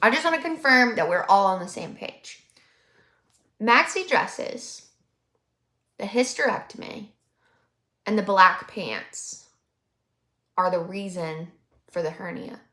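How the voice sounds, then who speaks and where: A woman's voice: mid-range voice, animated, slow pace, long pauses, pushed and bright. Young woman reaction host, sarcastic and mocking, chatty, roomy echoey sound. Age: 20s. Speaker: commentary YouTuber. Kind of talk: reaction commentary on a vlog clip. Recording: roomy echo.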